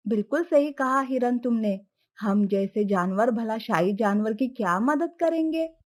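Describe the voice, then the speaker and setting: A woman voicing a sarcastic female elephant in an animated moral story. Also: a little high, a little slow. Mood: mocking. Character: big female elephant, sarcastically refusing to help.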